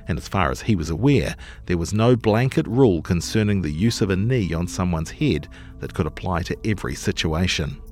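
A man reading a long-form news feature in a measured, articulate scripted narration style. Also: sits low, lively intonation, a little mellow, faint music behind.

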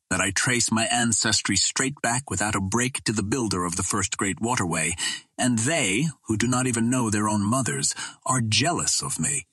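A proud and gracious Martian princess from a pulp adventure storybook, read by a man in a low voice. The speech is formal and stately, with a husky grain and a close, dry sound. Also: pushed and bright, no echo, full character voice.

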